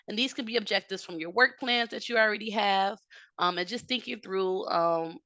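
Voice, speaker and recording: Bright, dry-sounding woman presenting a webinar. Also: mid-range voice, dry recording. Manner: steady, explanatory, frequent "um" fillers. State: slightly hoarse from a cold. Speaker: technical assistance presenter. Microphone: video call.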